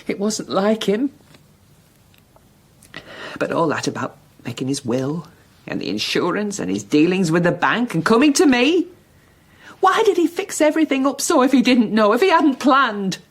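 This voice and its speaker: An actress playing a grieving, bewildered Englishwoman in a period radio drama, speaking in an agitated, halting, questioning way. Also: medium pitch, very animated, slightly rough. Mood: anguished. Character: grieving, bewildered Englishwoman in early twentieth-century England. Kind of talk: BBC radio drama acting.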